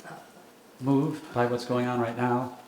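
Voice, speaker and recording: An older man, a bishop, speaking thoughtfully at a lecture with many pauses and fillers. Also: mid-range voice, flat delivery, darkish tone. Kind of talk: lecture and Q&A remarks. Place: lecture hall. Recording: echoey hall with background noise.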